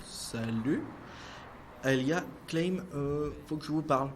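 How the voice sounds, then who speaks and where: A man's voice: a little high, takes it slowly, crowd noise. A man playing a flippant colleague on an investigation in a French audio drama, casual and wry with loose, hesitant phrasing. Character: flippant, chatty male investigation colleague cracking dark jokes.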